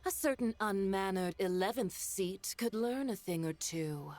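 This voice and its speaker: A woman's voice: mid-range voice, expressive, a little slow, darkish tone, natural voice. A woman voicing a haughty, elegant noblewoman in a video game, teasing with refined mockery. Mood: amused, mocking. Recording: faint music behind the voice. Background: game music.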